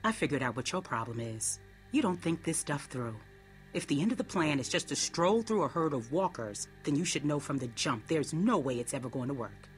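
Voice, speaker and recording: A tough, sceptical survivor in a game drama. Her voice is very low, and she talks in a blunt, dry, level-headed way over music. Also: animated, fairly quick. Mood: firm, dismissive. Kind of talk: dramatic video game acting.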